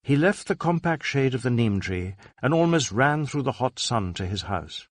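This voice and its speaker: A man narrating a detective novel as an audiobook, with measured, dramatic storytelling. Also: mid-range voice, big pitch swings, no echo, studio quality.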